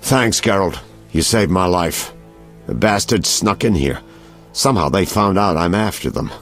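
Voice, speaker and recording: An actor playing an anxious, hunted detective in a video game, with urgent, clipped delivery. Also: male voice, low-pitched, a little slow, fairly bright tone, soft background music. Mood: tense, urgent.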